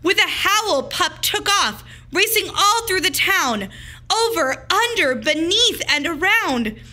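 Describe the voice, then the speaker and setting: A woman teacher reading a picture book aloud to children in an expressive, deliberate, emphatic storytelling manner. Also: extremely high pitch.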